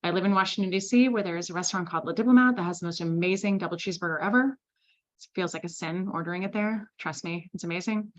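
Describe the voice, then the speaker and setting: A woman from Switzerland presenting a sales masterclass in a lively, conversational way, often asking "right?". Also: mid-range voice, highs cut off. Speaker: sales trainer.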